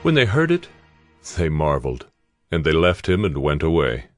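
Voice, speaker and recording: A man narrating a dramatized Bible story in a solemn, measured, scripted style. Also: rumbling low, wildly swooping pitch, over music, heavily acted.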